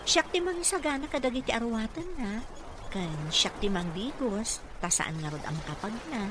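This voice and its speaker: Radio drama role: actress as dutiful Ilocano caregiver mom, high female voice, deferential and coaxing, over noisy ambience. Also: lively intonation, moderate pace, natural voice. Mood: gentle, explaining. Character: dutiful single mother working as a wealthy man's caregiver. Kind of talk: Filipino radio drama acting.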